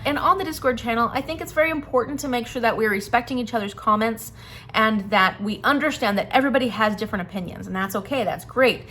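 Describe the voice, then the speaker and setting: A woman's voice: mid-range voice, fairly bright tone, slight background noise. A woman speaking casually and enthusiastically to viewers as the host of a book channel, making an announcement. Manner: enthusiastic, chatty.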